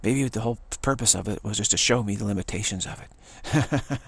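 An older man, a spiritual teacher, giving a rambling monologue, halting and repeating words, with frequent chuckles, recorded on an action camera. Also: on the low side, creaky, breathy edge, dry recording.

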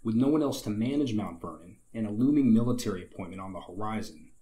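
A young man with a slightly raspy, hoarse voice, hosting a history channel and narrating from a script with wry conversational asides. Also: on the low side, animated, quick pace, mellow. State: recovering from illness, slightly hoarse.

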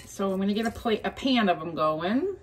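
A woman's voice: medium pitch, expressive, a little fast. A woman giving a casual cook-along demonstration in her home kitchen, chattily talking viewers through each step. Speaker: home cooking vlogger. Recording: clear kitchen noise, clinking dishes.

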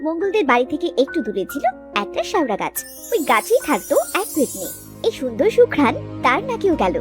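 A woman's voice: high-pitched, very animated, fairly quick, loud music behind the voice. A woman narrator telling a scripted cartoon folk tale.

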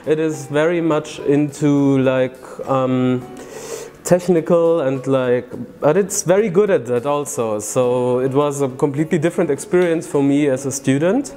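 A man's voice: mid-range voice, measured pace, dark tone, faint music behind. A young man, a film student answering interview questions in a thoughtful, rambling way. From Germany. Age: young adult. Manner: thoughtful, rambling, gesturing with his hands. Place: indoor corridor.

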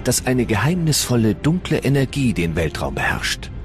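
A man narrating a science documentary in a calm, measured way, recorded as a studio voice-over. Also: mid-range voice. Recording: voice-over with music underneath. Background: music bed.

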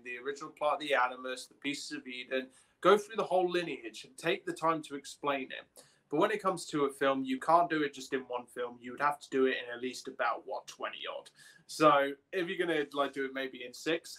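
A young man talks as co-host of an informal podcast on a video call. His voice is medium in pitch and bright. He speaks in halting bursts and circles back over his points. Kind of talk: casual podcast discussion. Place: small room at home, on a video call.

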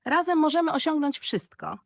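Female narrator as tiny clever male mouse, high voice, encouraging, practical, children's storybook. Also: animated, normal speed, creaky, no room sound, clean studio sound. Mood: joyful.

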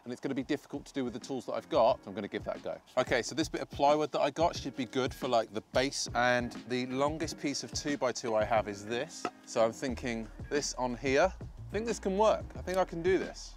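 A British man in his thirties talking informally and self-deprecatingly as he narrates his own woodworking video outdoors. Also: medium pitch. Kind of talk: casual DIY vlog commentary. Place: outdoor paved garden patio by a field.

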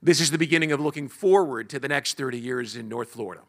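A man narrating a measured, scripted voice-over announcement over an event. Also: medium pitch.

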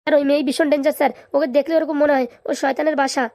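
A woman acts out a cowardly grown son in a comic cartoon, in a high, dry voice. He rattles along extremely fast, stammering and pleading. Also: steady tone, darkish tone, no echo. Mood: frightened, pleading.

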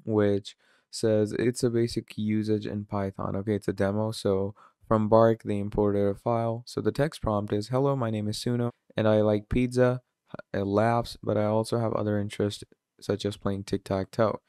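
A man giving a casual screen-recorded software tutorial, filling his talk with "obviously" and "you're gonna". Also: lowish voice, flat delivery, moderate pace, clean tone, no echo, studio quality. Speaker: tutorial presenter.

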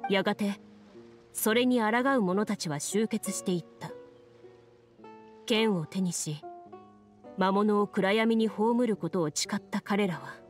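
A woman's voice: mid-range voice, close to an everyday voice. Composed, formal young woman actress as game master. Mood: solemn storytelling. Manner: calm, formal, deliberate explaining. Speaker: game master narrating a tabletop game. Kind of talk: anime video game acting, storytelling narration. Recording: music under the voice.

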